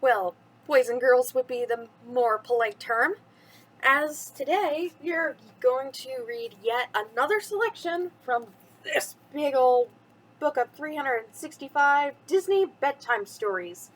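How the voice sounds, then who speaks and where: A woman's voice: high voice, animated, a little slow, low-quality recording. A woman hosting a bedtime story reading for children, chatty and addressing the young listeners directly.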